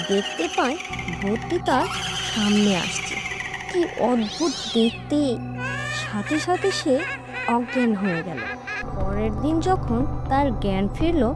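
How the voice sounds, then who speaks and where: Female storyteller for horror cartoon narration, high-pitched, over a music bed.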